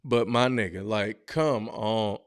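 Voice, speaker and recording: A male podcast host talks slowly and at ease, in a smooth, clean voice. His casual reaction commentary is slangy, blunt and joking, and he often breaks into exclamations. The sound is dry and close to the mic. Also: lowish voice, no room sound.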